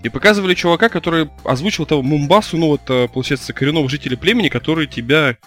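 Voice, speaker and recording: A man co-hosting a casual podcast, telling stories in a fast, animated way. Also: fairly high pitch, soft background music. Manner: fast, animated, rising into excited retelling. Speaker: podcast co-host. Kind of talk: casual storytelling chat.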